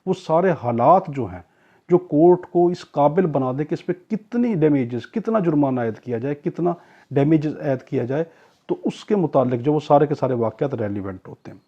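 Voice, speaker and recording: Husky Pakistani man lecturing, muffled audio. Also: a little high, animated, dark tone. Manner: repeats and rephrases key points for students; reads English legal phrases out slowly. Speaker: law lecturer. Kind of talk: explanatory classroom lecture with examples.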